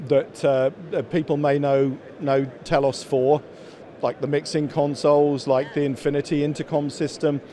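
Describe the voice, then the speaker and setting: Mellow middle-aged man, stop-start delivery. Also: fairly high pitch. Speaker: company executive being interviewed. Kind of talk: trade show interview, explaining products. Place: busy exhibition hall. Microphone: handheld interview microphone held by interviewer.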